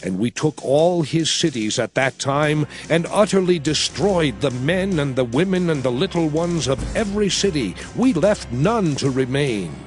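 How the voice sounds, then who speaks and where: Commanding prophet, solemn, declamatory, animated intonation, scripture drama, music behind. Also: male voice, on the high side, unhurried, hardly any pauses. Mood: solemn, stern. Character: Moses, commanding elder prophet addressing his people. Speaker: dramatized Bible voice actor. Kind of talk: dramatized scripture oration, King James English.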